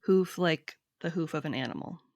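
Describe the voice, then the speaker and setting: A woman's voice: deep voice, expressive, normal speed, a little mellow, dry recording, studio quality. A woman hosting a podcast, casually quizzing a guest in a plain, patient way. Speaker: podcast host. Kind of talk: casual quiz chat.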